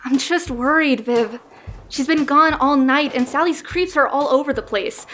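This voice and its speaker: Feisty female bowling champion acted by a woman, tomboyish and brash, high-pitched, radio drama over soft music. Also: normal speed. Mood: anxious. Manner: brash, slangy. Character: feisty, defiant tomboyish young woman bowler. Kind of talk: radio play acting.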